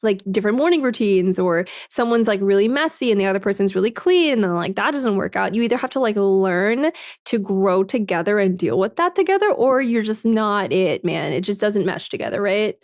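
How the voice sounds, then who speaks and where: A woman's voice: mid-range voice, animated, brisk, no room sound, clean studio sound. A woman in her twenties, a livestreamer chatting casually with viewers, frank, swearing often and laughing a lot. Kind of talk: casual chat, reading and answering viewer comments.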